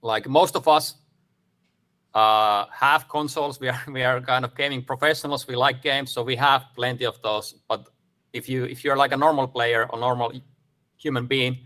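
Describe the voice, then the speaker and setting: A man's voice: mid-range voice, steady tone, moderate pace, pushed and bright. A man from Finland giving a casual, upbeat presentation over a video call, with many fillers. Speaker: guest speaker.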